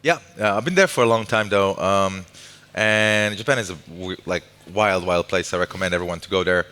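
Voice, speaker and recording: A man's voice: low voice, a little fast, sharp tone. A man from Croatia, a guest on a live stage interview, talking loosely with self-mocking asides and many restarts. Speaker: guest, tech company developer lead. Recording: long hall reverb.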